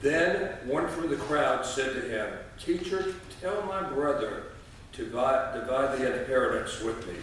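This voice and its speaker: Man, sixties, folksy church lay reader, mid-pitched, halting delivery, distant in a very reverberant sanctuary. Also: expressive, a bit bright. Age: 60s. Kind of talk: informal church address and scripture reading. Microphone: distant camera microphone. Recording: echoey church, distant mic, noisy.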